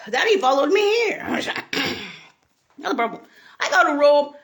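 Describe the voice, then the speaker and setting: A woman from Italy, rambling in a profane monologue, laughing and bursting into shouts. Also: high voice, big pitch swings, slow.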